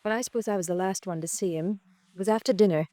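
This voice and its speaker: A woman's voice: medium pitch, fast, warm tone, no echo, lightly acted. An actress playing a poised, well-to-do wife in her late thirties in an old-time radio drama, formal and defensive. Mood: reserved, formal. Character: poised, genteel wealthy wife in late thirties, guarded and affronted.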